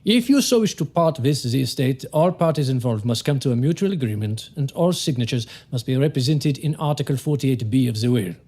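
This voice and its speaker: A man playing a courteous German lawyer in an audio drama, with a German accent and formal, sometimes halting phrasing. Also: medium pitch, animated, darkish tone. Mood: formal, welcoming. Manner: formal, halting word searches.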